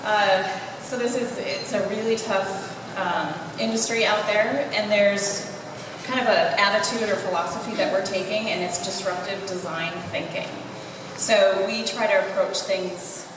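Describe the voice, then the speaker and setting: A woman design consultant speaking in a measured, thoughtful way. She is heard from a distance, with long reverb in a noisy hall. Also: mid-range voice. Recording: echoey hall from far off, noisy.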